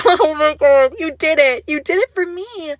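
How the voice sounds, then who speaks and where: A woman playing an obsessive, gushing yandere kidnapper in a roleplay audio drama, menacingly sweet, with breathy, doting coos. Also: sits high, unhurried, no room sound, studio quality. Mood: giddy, ecstatic. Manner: breathy doting coos, often dropping to whispers. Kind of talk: roleplay audio drama, intimate monologue to listener.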